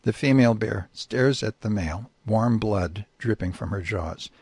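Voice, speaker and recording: A man's voice: fairly low pitch, moderate pace, a little mellow, breathy edge, no room sound. A man narrating a short story for radio in a dramatic storytelling style. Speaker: story reader.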